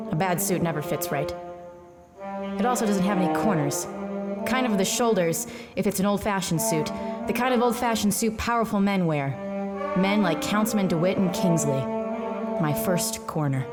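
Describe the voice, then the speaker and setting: A hard-boiled female detective played by an actress speaks at a middling pitch over loud music. She is sardonic, world-weary and deadpan, in classic noir style. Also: lightly acted. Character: hard-boiled, hard-drinking, wry female private eye. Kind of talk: noir first-person narration and drama.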